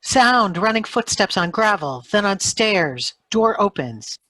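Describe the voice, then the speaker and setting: A woman's voice: mid-range voice, sing-song, slow pace, pushed and bright, dry recording. A woman reading out sound effects for a radio play in short scripted phrases. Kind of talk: clipped scripted stage directions.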